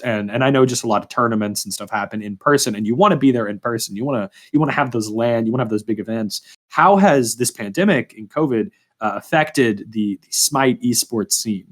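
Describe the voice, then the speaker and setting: A man hosting a podcast interview in an informal, conversational way. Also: medium pitch. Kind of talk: casual interview chat.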